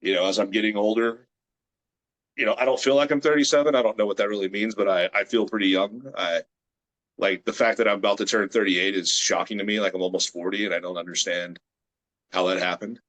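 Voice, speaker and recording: A man in his late thirties, a grip strength athlete from the United States, chatting as a guest on a video call in a relaxed, rambling way with many fillers. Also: fairly low pitch, fairly quick, rough recording. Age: 30s. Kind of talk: casual interview chat.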